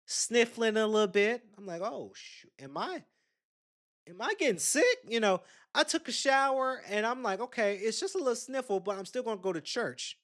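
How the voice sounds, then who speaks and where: Chatty man, higher voice. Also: animated, moderate pace, fairly bright tone. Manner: rambling, chatty, addresses listeners directly with asides. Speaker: podcast host. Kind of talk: casual solo storytelling.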